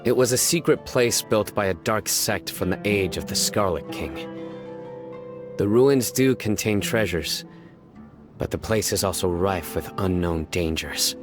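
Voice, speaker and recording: A man voicing a stern, calm young male judge who speaks in a measured, grave and terse way in a video game. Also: low-pitched. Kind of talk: game voice acting. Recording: game audio with music behind.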